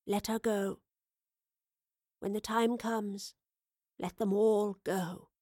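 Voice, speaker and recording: Woman doing a gentle old lady professor, slow and knowing, storybook voice, dry sound. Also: mid-range voice, no room sound, natural voice. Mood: tender. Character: elderly woman professor, calm and wistful.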